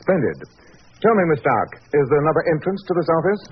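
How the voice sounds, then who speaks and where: An actor playing a courteous, self-assured British detective in a 1940s radio drama, with crisp, precise, commanding delivery. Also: male voice, a little high, big pitch swings, fast. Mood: probing, assured. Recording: muffled, hissy 1940s radio recording.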